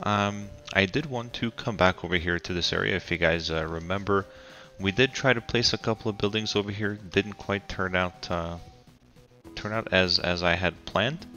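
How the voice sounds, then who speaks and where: A very low-pitched man talks through his city build in an easy, halting way, his voice raspy as he gets over a cold. His tone is bright and forward, and soft music plays behind him. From Colorado. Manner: relaxed, halting, frequent ums and restarts. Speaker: game commentator. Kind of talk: casual gameplay commentary.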